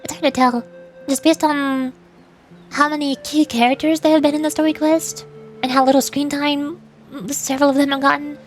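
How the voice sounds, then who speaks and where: A woman's voice: sits high, level tone, moderate pace. A woman streamer giving casual, chatty and playful commentary while playing a video game. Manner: playful, chatty, with silly sound effects. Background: game music.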